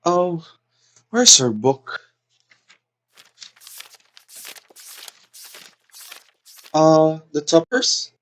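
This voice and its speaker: A man's voice: fairly high pitch, big pitch swings, takes it slowly, a bit bright, heavily acted. A man playing the anxious, well-meaning daughter of a society hostess in a stage comedy, earnest and pleading. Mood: helpful, hurried. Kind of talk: theatrical stage comedy acting.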